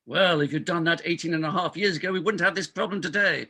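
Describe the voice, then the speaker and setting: A man's voice: a little high, fast talker, creaky, sharp tone, full character voice. A man playing an exasperated, self-important hospital doctor, indignant and emphatic, in a comic stage farce reading. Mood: indignant, alarmed. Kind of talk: comic farce readers theatre.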